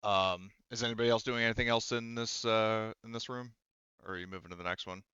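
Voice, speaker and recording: A man's voice: lowish voice, fairly even intonation, quick pace, pushed and bright, clear, resonant tone, dry recording, dull audio. A man working as game master, improvising his narration in a hesitant, conversational way full of hedges.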